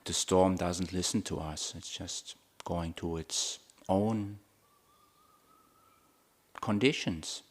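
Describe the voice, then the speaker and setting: A man guiding a meditation as a Buddhist teacher, speaking in short, measured phrases with long pauses between them. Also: low voice. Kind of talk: guided meditation talk.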